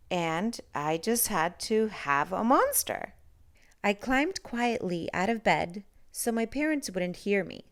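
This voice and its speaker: A woman reading a picture book aloud to children in an expressive style, with deliberate, dramatic phrasing. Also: medium pitch, smooth. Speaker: children's story reader.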